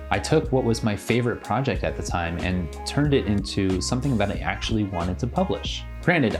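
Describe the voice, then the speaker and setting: A young American man talking to camera in a personal vlog, with a wry, self-deprecating way of speaking. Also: on the low side. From western United States (California and Oregon). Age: young adult. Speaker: YouTuber and author.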